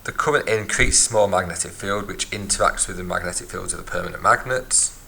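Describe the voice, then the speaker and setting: A man's voice: deep voice, fairly quick, creaky, bright, forceful tone, a little hiss or hum. A man teaching a physics lesson, explaining step by step and recapping as he writes in a notebook. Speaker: science teacher. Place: at a desk, filming a notebook from above.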